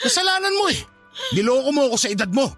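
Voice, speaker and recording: Radio drama: agitated Filipino boyfriend, accusing, frightened, rattling lines out fast. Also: male voice, extremely high pitch, very animated, fairly bright tone, soft background music, heavily acted. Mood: angry, hurt. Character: angry, frightened adult man betrayed by underage girlfriend.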